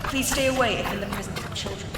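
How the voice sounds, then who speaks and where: A woman playing a news anchor in a fan audio drama, reading a bulletin with brisk broadcast delivery. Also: medium pitch, roomy sound, long reverb tail, loud music behind the voice, close to an everyday voice. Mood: formal. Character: female galactic news anchor.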